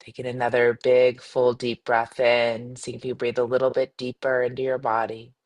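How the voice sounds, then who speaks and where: Female meditation guide, mid-to-low voice for a woman, soothing and unhurried, gentle instructions with spacious pauses. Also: monotone. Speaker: meditation guide, yoga teacher.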